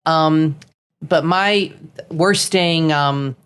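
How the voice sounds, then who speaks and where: A woman's voice: low voice, very slow, smooth. A middle-aged woman from Florida, co-hosting a casual chat and running through opinions in a chatty, opinionated way. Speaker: co-host.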